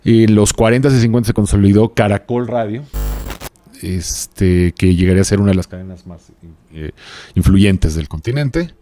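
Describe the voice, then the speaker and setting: A man hosting a podcast, talking casually and haltingly, thinking aloud and adding little tag questions. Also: lowish voice, warm tone. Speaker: podcast host. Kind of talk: casual podcast conversation from notes.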